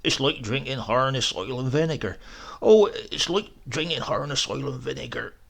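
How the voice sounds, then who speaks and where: A man's voice: medium pitch, expressive, moderate pace, gravelly, fairly bright tone. A man voicing a rebellious, ailing old great-uncle in a storybook, grumbling and terse. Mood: sour, complaining. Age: elderly.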